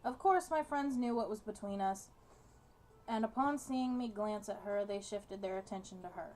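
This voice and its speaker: A woman's voice: medium pitch, moderate pace, a little mellow, low-quality recording. A woman reading a visual novel's narration aloud as part of a game playthrough. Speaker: gaming video co-host.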